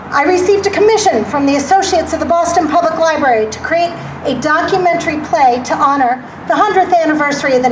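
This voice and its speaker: A woman, a playwright, giving an animated public speech outdoors at a march, with a loud, carrying voice. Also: high-pitched. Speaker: playwright and director speaking at a rally. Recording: distant mic with loud outdoor noise.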